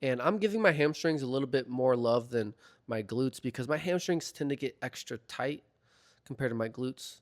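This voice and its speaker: A young man talking through a foam roller routine in a relaxed, chatty voiceover, his voice a little hoarse as he recovers from being sick. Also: medium pitch, moderate pace. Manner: relaxed, chatty, filler-heavy. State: slightly hoarse from recovering from illness. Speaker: fitness vlogger. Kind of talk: casual instructional voiceover. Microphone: voiceover mic.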